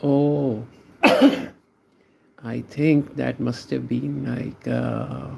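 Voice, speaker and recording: A man's voice: medium pitch, big pitch swings, drawn-out words, gravelly, a little mellow, a little hiss or hum. A man from Pakistan, chatting casually with viewers while he draws on a livestream, answering their comments in a laid-back way. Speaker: art livestreamer.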